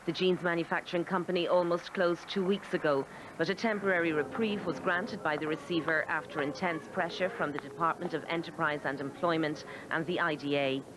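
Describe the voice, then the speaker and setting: A woman giving a scripted voice-over news report. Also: low voice. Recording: noisy report with outdoor traffic sound.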